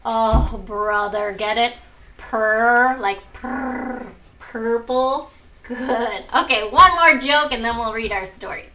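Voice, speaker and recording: A woman with a bright, fairly high voice speaks slowly and instructively, like a teacher guiding children through a lesson or picture book. She sounds some way from the mic, with noise behind her. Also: far from the mic, poor audio. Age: adult. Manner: instructive, prompting children with questions. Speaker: art teacher and mother. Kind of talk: lesson narration and picture book read-aloud. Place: at home.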